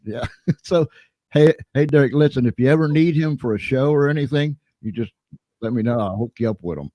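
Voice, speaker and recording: An upbeat man hosts a live interview show, pumping up the talk between questions. His voice is mellow and warm in tone. The sound is dry and close, with no room echo. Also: medium pitch, fairly quick. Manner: upbeat, energetic host patter. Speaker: show host.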